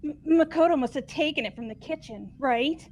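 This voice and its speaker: A woman's voice: high-pitched, brisk, a little mellow, a little hiss or hum, close to an everyday voice. A woman voicing a timid, anxious teenage student who stammers hesitantly in a video game. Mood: nervous.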